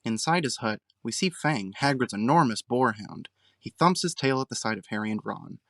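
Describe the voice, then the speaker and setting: A man's voice: medium pitch, lively intonation, normal speed, gravelly, fairly bright tone, dry recording. Dry-recorded male audio drama narrator.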